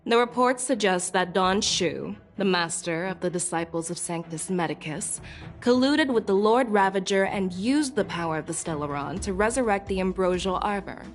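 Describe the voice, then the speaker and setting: A woman's voice: medium pitch, animated, moderate pace, soft background music, natural voice. A woman voice actor playing a probing, confident female general who questions people formally in a video game. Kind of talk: scripted video game voice acting.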